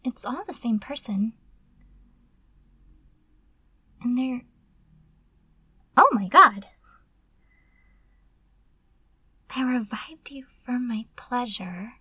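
A woman's voice: a little high, animated, takes it slowly, sharp tone, breathy edge, dry recording, full character voice. A woman playing a timid, curious, easily flustered female servant in an intimate audio roleplay, murmuring breathily and stammering. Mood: shocked fascination.

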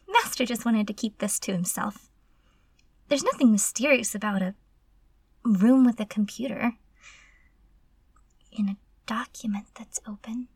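A woman's voice: a little high, breathy edge, no room sound, full character voice. A woman playing a timid, curious, easily flustered female servant in an intimate audio roleplay, murmuring breathily and stammering. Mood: hushed curiosity.

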